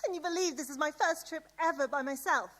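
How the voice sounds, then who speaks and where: An actress playing an independent, reassuring teenage daughter in a radio play. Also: female voice, high voice, fast talker, fairly bright tone. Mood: confident, impatient. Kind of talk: radio drama acting.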